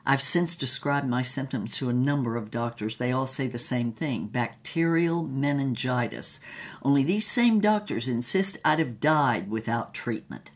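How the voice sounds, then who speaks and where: A woman's voice: extremely low pitch, animated, a little slow, exaggerated voice. An actress playing a woman adventurer who recounts her past in a theatrical, emphatic audio drama manner. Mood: wry recollection.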